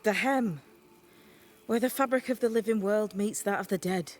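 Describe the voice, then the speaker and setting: A woman playing a blunt, sly young witch's novice in a video game, wry and teasing in a deadpan way. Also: medium pitch, normal speed, close to an everyday voice. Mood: breezy. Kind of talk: video game cutscene acting.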